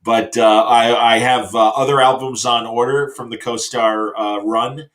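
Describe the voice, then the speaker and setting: A man's voice: mid-range voice, steady tone, a little slow, a bit bright. A middle-aged man hosting a show with casual, enthusiastic chat, rambling and often hesitating as he looks for the next phrase. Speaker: talk show host.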